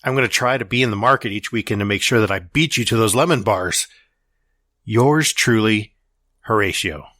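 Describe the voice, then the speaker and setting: A man's voice: fairly low pitch, moderate pace, sharp tone, no echo, studio quality. A man playing an earnest, nerdy science lover who rambles and apologizes as he reads out his letter in an audio drama. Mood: earnest, friendly. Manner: rambling, self-interrupting, apologetic, sighing.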